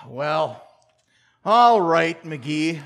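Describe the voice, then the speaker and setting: Man acting a slow, pompous radio-comedy neighbor. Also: a little high, very animated, a bit bright, full character voice. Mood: hesitant. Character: pompous, hesitant male neighbor, proud and gullible. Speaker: actor in community theater radio play.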